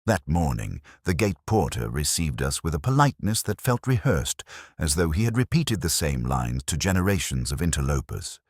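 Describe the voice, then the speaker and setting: Very deep, brooding detective. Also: male voice, big pitch swings, darkish tone, slightly rough, no room sound, studio quality, heavily acted. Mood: brooding, reflective. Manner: measured, solemn, literary phrasing. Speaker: narrator. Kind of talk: scripted first-person detective narration.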